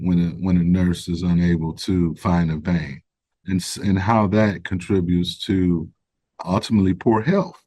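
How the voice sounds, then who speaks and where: Interview guest, man, low voice, dry close recording, measured and earnest. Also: mellow, dry recording. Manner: measured, earnest, self-correcting as he explains. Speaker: guest, founder of a recovery organization. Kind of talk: conversational interview.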